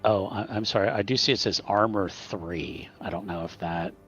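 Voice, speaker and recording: A player in a tabletop game, speaking softly and apologetically. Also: low-pitched, normal speed, faint music behind. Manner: soft-spoken and apologetic. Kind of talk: casual game talk. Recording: dull lo-fi mic.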